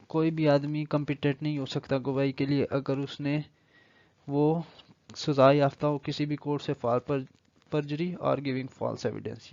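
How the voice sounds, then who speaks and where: Slow-spoken Pakistani man lecturing on law. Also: medium pitch, gravelly, a little mellow, no echo. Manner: explanatory, switches between Urdu and English. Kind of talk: online lecture, reading out legal text and explaining it. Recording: dull lo-fi microphone sound.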